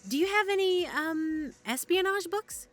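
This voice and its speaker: A woman's voice: extremely high pitch, a little slow, fairly bright tone, soft background music. A woman playing a composed, cautious character with a discreet manner in an audio drama. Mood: curious.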